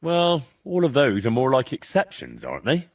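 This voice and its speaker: An actor playing a plain-spoken, sceptical young medieval adventurer in a video game, with a down-to-earth, conversational manner. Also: male voice, medium pitch, huge pitch swings, moderate pace. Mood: unconvinced. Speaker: protagonist of a game. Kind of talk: video game cutscene dialogue.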